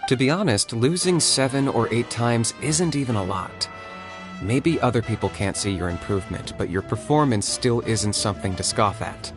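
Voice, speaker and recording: A man voicing a serious, level-headed young warrior in a video game, measured and matter-of-fact. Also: mid-range voice, expressive, lightly acted. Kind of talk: video game character acting. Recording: music behind the voice.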